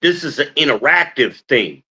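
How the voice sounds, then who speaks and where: A deep-voiced man from New Jersey hosting a casual livestream, telling stories and calling on the chat like a preacher asking for an amen. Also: animated, normal speed, creaky, sharp tone, slightly rough. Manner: preacher-like call and response with the chat. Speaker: podcast host.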